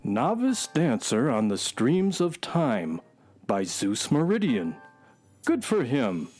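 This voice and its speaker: Wry, lively horse sidekick. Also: male voice, medium pitch, slow pace, faint music behind, full character voice. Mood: matter-of-fact. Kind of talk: children's radio drama acting.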